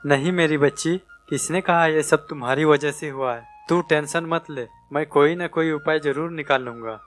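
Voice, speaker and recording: A man's voice: fairly high pitch, moderate pace, a bit bright, lightly acted. Storybook father: male actor burdened, weary, tender family man, faint music underneath. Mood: reassuring. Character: tired, affectionate, burdened father and husband.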